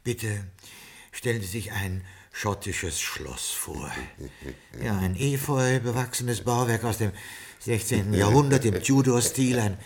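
An actor playing a prim, loyal butler to a Scottish lord in a 1960s German radio play, formal, fussy and deferential. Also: male voice, lowish voice, expressive, a little slow. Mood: solemn reading.

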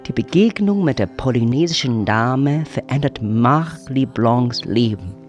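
A man narrating a radio drama in a measured storytelling manner. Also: mid-range voice, animated. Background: music.

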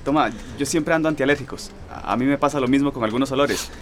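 A man's voice: mid-range voice, fast talker, slight background noise, natural voice. An actor playing a polite, nerdy young man who explains things wordily, in a radio drama with a Costa Rican accent. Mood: eager, polite. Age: young adult. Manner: wordy, pedantic explanations. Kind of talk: naturalistic radio drama acting.

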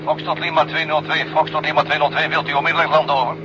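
Casting: curt radio official, quick, clipped, insistent, dull noisy transmission, old radio play. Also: male voice, fairly high pitch, little pitch movement. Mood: menacing. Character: curt, insistent male radio voice giving orders to pilots. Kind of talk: 1970 Dutch radio drama acting.

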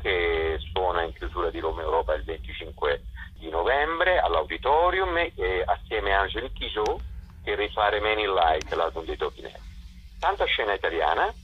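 Deep, husky man on a muffled line. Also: expressive, moderate pace. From Italy. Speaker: festival director presenting a programme. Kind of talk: spoken radio presentation. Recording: muffled, lo-fi radio or telephone sound.

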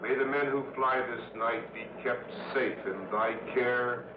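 A man's voice: medium pitch, fairly even intonation, measured pace, narrow, tinny audio. Distant, echoey man praying. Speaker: military chaplain. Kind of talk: solemn public prayer. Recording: echoey, distant, noisy archive recording.

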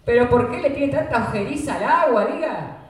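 A woman voicing a fussy, easily frightened country companion in a comic radio play, scolding and quick to panic. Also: sits high, expressive, slight background noise. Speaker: radio drama actor. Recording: very echoey room, voice far from mic.

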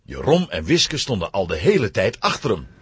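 A man narrating a children's adventure story in a scripted, dramatic, expressive style with a husky voice. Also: fairly low pitch, normal speed, a little hiss or hum.

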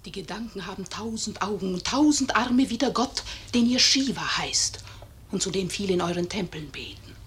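A woman's voice: mid-range voice, expressive, moderate pace, a little hiss or hum, natural voice. Insistent, incantatory spirit-like bullet voiced by a woman. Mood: urging. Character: insistent, scornful female bullet addressing dying man. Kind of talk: declamatory literary radio play acting.

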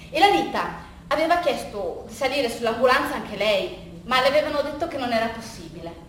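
A woman performing a theatrical first-person storytelling monologue, halting and conversational, repeating phrases as she goes. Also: fairly high pitch. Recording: distant, very echoey room, faint noise.

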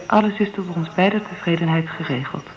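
A woman's voice: fairly low pitch, fairly quick, lightly acted, poor audio. An actress playing an earnest, candid young divorced mother in a Dutch radio drama. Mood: wistful.

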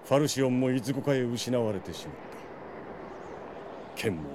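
An actor playing a loyal, solemn knight captain in a fantasy audio drama, speaking in formal, deferential courtly language. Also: male voice, mid-range voice, animated, normal speed, background noise, close to an everyday voice. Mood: grave.

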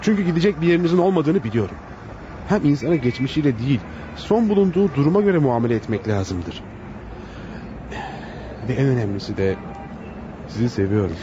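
An actor plays an insistent, devoted suitor in an old radio melodrama. His mid-pitched voice is ardent and declamatory. The sound is muffled, with clear background noise. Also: animated, fairly quick, long pauses, lightly acted. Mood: passionate. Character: earnest, insistent suitor devoted to a fallen woman. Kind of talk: melodramatic Turkish radio theatre acting.

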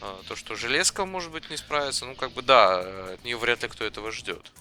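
A man's voice: medium pitch, big pitch swings, fairly quick, sharp tone, faint music behind. A man in a casual tech debate, arguing his point in hesitant, broken-up phrases.

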